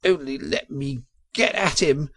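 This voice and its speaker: A man voicing a vain, excitable toad in a storybook, frenzied and indignant in manner. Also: mid-range voice, measured pace, breathy edge. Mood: seething. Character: excitable, vain, hot-headed male toad. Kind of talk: audiobook character voice.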